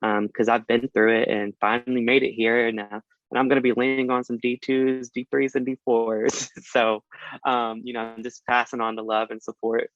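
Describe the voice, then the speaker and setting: Guest read: man in his twenties, from Alabama, easygoing and halting, mid pitch, dry and slightly dull recording. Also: no echo. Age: 20s. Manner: relaxed, halting, many fillers and restarts. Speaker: dental student guest. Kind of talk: casual interview chat.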